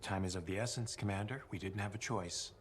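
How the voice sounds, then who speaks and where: A resolute male squad organizer, voiced by a man speaks in a low register. He sounds grave and purposeful, in a game cutscene with faint noise. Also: brisk, lightly acted. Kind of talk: video game cutscene acting.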